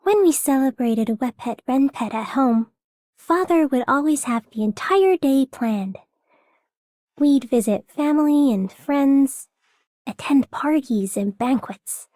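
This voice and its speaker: A woman's voice: high-pitched, animated, unhurried, warm tone, no echo, clean studio sound. An actress playing an earnest, mild young girl in a video game, with a modest, hesitant manner. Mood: wistful, modest. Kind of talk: video game character voice line.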